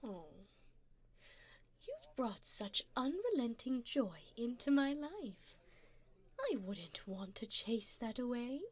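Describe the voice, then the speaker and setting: A woman's voice: on the high side, sing-song, slow pace, heavily acted. A woman voicing a wealthy, doting older vampire sugar mommy in an ASMR romantic roleplay, coaxing and refined as she pampers her darling. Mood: pleased, doting.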